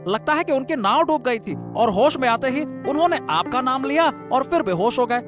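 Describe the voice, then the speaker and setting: A man playing an excitable, chatty, humble fisherman in a Hindi story drama, often repeating his words. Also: very high-pitched, moderate pace, music in the background. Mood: deferential, urgent. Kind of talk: Hindi moral-story audio drama acting.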